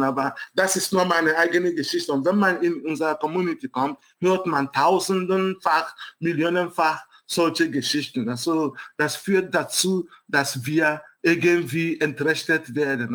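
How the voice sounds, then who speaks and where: A man's voice: a little high, fairly bright tone. A man with a strong African accent and German not his first language, telling a personal story with many fillers and self-corrections. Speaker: guest. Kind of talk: personal story in a panel talk.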